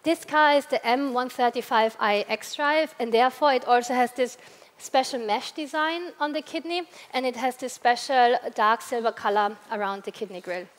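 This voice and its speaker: A woman's voice: fairly high pitch, moderate pace. A woman from Austria with an Austrian accent giving a product presentation at a press launch, explaining step by step with occasional hesitations and restarts. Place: large event hall at a press launch. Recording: long reverb of a large hall.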